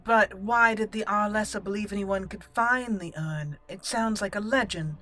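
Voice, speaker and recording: A woman hosting a game playthrough, roleplaying aloud with theatrical, deliberate phrasing. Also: medium pitch, pushed and bright. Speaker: gaming channel host.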